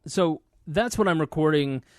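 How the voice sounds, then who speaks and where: A man's voice: on the high side, moderate pace, a little mellow, dry recording. A man hosting a podcast, talking casually about his recording gear, chatty and informal with plenty of fillers, into a studio microphone. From Indiana, US. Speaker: podcast host.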